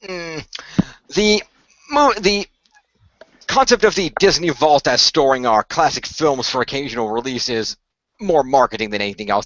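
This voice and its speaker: A man improvising the part of an earnest company insider, explaining things in a measured, hesitant way as a game master. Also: mid-range voice, a bit bright, no room sound, highs cut off. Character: earnest, measured company insider revealing a secret magical order. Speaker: game master playing a character. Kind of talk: improvised in-character exposition for a role-play story.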